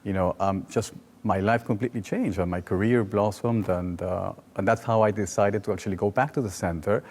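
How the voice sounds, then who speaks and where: A man's voice: on the low side, steady tone, brisk, a little mellow, slightly rough. A man in his forties from Peru, a native Spanish speaker with a Peruvian accent, talking conversationally as an interview guest. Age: 40s. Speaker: well-being coach and guest. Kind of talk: conversational personal storytelling in an interview.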